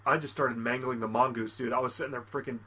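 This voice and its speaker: Bright-voiced young man, rambling and crude. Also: mid-range voice, steady tone, fast talker, slightly rough. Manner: rambling, laughing, slips into mock voices for quoted people. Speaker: podcast co-host. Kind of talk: crude casual chat.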